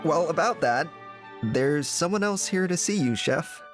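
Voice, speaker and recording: Lively, candid kitchen worker. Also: male voice, on the high side, a little slow, faint music behind. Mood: earnest, candid. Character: loyal, candid young male kitchen worker. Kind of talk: video game story voice acting.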